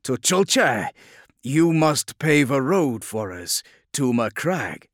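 A man playing a proud, savage warlord who commands in a forceful, growling manner, in a sci-fi audiobook. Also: lowish voice, lively intonation, slow pace, no room sound, clean studio sound, full character voice. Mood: furious.

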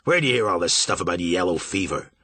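A man voicing a gruff, blustering middle-aged riverboat captain who curses and barks out demands. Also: low-pitched, lively intonation, fast, no room sound, voice pushed down, exaggerated voice. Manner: loud, cursing, demanding.

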